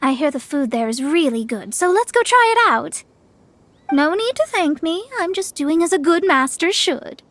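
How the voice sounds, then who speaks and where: A woman's voice: sits high, lively intonation, moderate pace, dry recording. A woman voicing a proud, haughty young female astrologer in a video game, lecturing and self-important. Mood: lofty.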